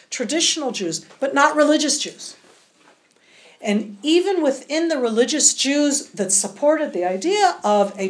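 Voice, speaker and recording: An American woman in her fifties giving a public lecture to a group, explaining at length with many asides. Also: sits high. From United States, California. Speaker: guest lecturer.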